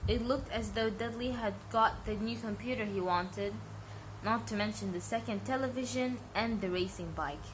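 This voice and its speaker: A woman's voice: fairly high pitch, moderate pace, noisy background. A woman narrating a children's bedtime story as an amateur reader.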